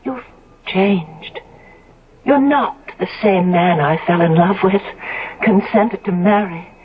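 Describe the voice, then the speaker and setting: An actress playing a devoted, proper young fiancée in a 1970s radio drama, with measured, emotive and formal phrasing. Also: female voice, medium pitch, breathy edge. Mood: accusing, hurt. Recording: dull lo-fi old radio broadcast.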